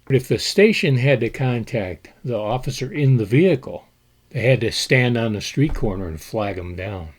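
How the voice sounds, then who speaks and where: A man telling the history of an old board game in a measured storytelling way, with an occasional "uh" as he goes. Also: medium pitch, expressive. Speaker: board game collector presenting. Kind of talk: scripted history narration.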